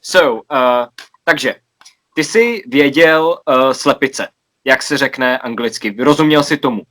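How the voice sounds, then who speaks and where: A man's voice: mid-range voice, a little slow, fairly bright tone. A man from Czechia in a casual video chat, patiently repeating and explaining words. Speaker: language exchange participant.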